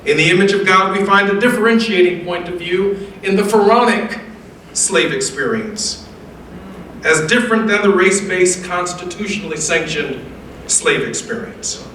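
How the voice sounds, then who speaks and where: An American man delivers formal remarks as a guest speaker in an oratorical, preacher-like style, measured and emphatic with rhetorical repetition. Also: high-pitched, a bit bright. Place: large echoey indoor event room. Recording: very echoey room, distant mic, faint noise.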